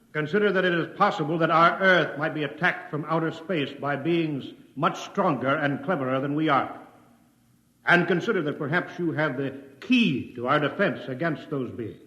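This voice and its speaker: A pompous, bullying senator rings out in a big echoing hall. His voice is highish and sharply forceful, in stern oratory straight from 1950s radio drama. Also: normal speed, muffled recording. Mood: grandiose, indignant.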